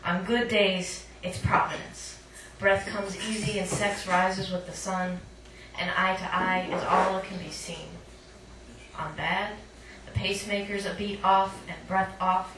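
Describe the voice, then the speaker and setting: A woman's voice: medium pitch, measured pace. A woman reading her poetry live at a cafe, with informal, self-conscious asides. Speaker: poet, featured reader. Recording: echoey room, distant mic, clear noise.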